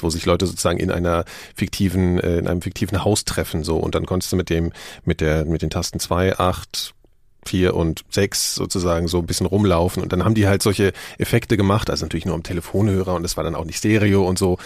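A man's voice: low-pitched, steady tone, fast talker, dry recording, studio quality. A man chatting informally and anecdotally as a podcast panelist. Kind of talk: casual podcast discussion.

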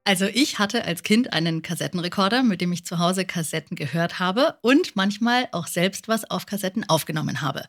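A woman's voice: lowish voice, animated, moderate pace, hardly any pauses, faint music behind. A woman presenting and interviewing as a podcast host.

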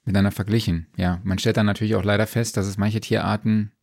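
A man's voice: lowish voice, flat delivery, quick pace, vocal fry, darkish tone, no room sound, studio quality. A man speaking casually and informally as a podcast host. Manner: informal, colloquial.

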